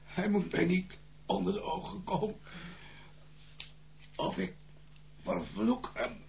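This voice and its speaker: A stern, ailing old grandfather in a radio play. He speaks very slowly and haltingly, with a gravelly creak. The old recording is thin and narrow. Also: high voice, fairly even intonation, a little hiss or hum, full character voice. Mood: agitated. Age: older. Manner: halting, slow, repeating words. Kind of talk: radio drama acting.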